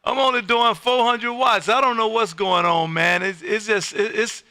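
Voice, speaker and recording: Bright, forceful man on ham radio. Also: sits high, normal speed, no room sound, studio quality. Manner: loud, folksy, animated radio patter. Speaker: amateur radio operator and livestream host. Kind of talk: casual radio chat. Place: home radio room.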